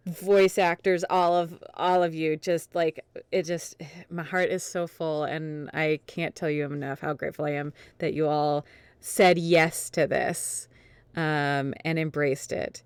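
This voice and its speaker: Woman host with clear, low-set voice. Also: no room sound. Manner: effusive, grateful. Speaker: streamer and host of a fan fiction reading show. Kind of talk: casual livestream sign-off chat.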